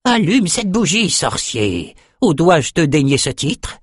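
A man's voice: a little high, big pitch swings, slow pace, slightly rough, dry recording, clean studio sound, pitched above the performer's own voice, full character voice. A man voicing a smug, menacing male sorcerer in a fantasy audiobook, theatrical and taunting.

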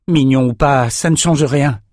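A man voicing a calm, sensible military captain in a fantasy audiobook. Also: medium pitch, unhurried, dry recording, studio quality, voice pushed up, lightly acted. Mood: firm, advising.

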